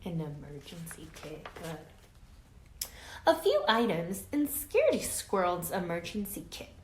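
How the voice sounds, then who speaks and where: A woman reading a children's picture book aloud like a teacher, deliberate and emphatic, drawing out words and adding explanatory asides. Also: fairly low pitch, very animated. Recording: echoey room, faint background noise.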